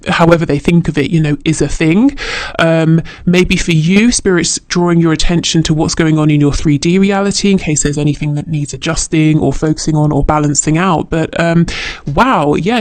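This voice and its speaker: A man's voice: fairly high pitch, darkish tone, no echo. A man giving an unscripted spiritual tarot reading to the viewer, reflective and often hesitant as he searches for phrasing. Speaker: tarot reader.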